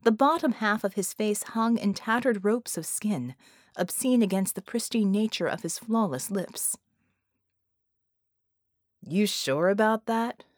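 A woman's voice: mid-range voice, animated, normal speed, no room sound, studio quality. A woman reading scripted fiction as an audiobook narrator.

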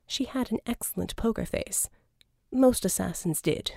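A woman's voice: a little high, moderate pace, creaky, warm tone, no room sound, studio quality. A woman reading scripted fiction as an audiobook narrator.

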